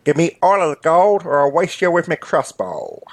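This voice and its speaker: A man's voice: fairly high pitch, animated, normal speed, pitched above the performer's own voice. Man acting a blustering, dim fantasy bank robber. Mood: menacing. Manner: pompous, indignant, colloquial dialect phrasing.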